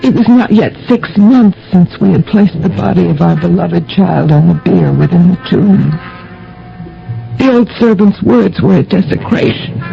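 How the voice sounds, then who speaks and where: An actress playing a grieving, imperious middle-aged mistress of the house in a radio mystery, speaking in formal, theatrical, hesitant phrases. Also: female voice, fairly low pitch, creaky. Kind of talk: 1980 radio mystery drama acting, narrating and dialogue. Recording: dull old radio recording, faint music.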